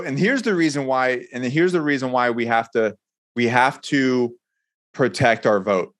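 A man speaking as a podcast guest in earnest conversation, building his points haltingly by restating them. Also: medium pitch. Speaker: podcast guest, military veteran. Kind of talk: earnest conversational political talk.

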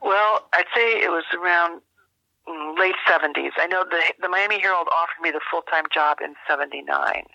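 Older woman, bright voice, dull line. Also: lowish voice, moderate pace, gravelly, no room sound. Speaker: interview guest, journalist and author. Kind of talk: reflective interview conversation.

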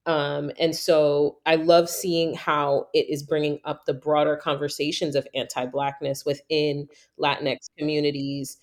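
A woman from New York hosting a casual conversation, reflective and thoughtful, with many fillers and lively storytelling. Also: low voice, a little slow, few pauses.

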